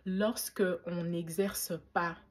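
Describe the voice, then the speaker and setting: A woman's voice: on the low side, steady tone, takes it slowly. A woman in her forties from Belgium, talking reflectively to camera, with frequent fillers and restarts. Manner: reflective, faith-inflected, many fillers and restarts. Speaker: YouTuber. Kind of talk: casual talk to camera.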